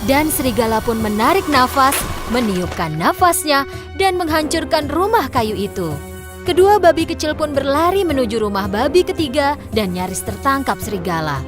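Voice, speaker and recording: Female children's story narrator: higher register, very animated scripted read, backed by music. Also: normal speed.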